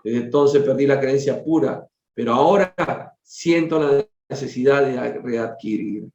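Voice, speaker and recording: A man's voice: mid-range voice, unhurried, darkish tone, distant mic, natural voice. A man reading the part of a troubled, weary father in a spiritual storybook, earnest and formal. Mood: wistful. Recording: dull, roomy lo-fi recording.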